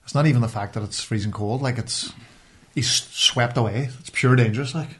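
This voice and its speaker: Man, Northern Irish accent, medium pitch, casual podcast chat, colloquial, a bit sweary. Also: a little fast, slight background noise. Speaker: podcast host.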